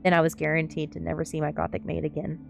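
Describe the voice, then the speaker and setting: Female story reader for an ASMR stream, low register, faint music underneath. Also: little pitch movement, quick pace, vocal fry. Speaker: streamer reading a novel aloud.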